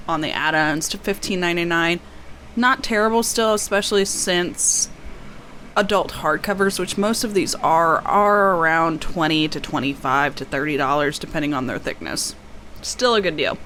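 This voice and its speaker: A woman's voice: on the low side, fairly bright tone. A woman book vlogger talking casually in a rambling, self-deprecating way, often drifting into tangents. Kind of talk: casual chatty unboxing vlog. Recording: clear background noise.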